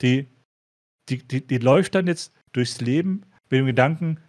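A man in a relaxed, informal conversation as a podcast co-host, with a mid-pitched voice. He starts phrases over and repeats small words while he looks for the right one. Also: moderate pace. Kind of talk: casual chat.

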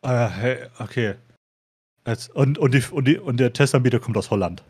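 A man co-hosting a casual talk, speaking haltingly and often repeating words as he searches for phrasing. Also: mid-range voice, a little mellow, husky. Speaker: podcast co-host. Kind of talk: casual chat.

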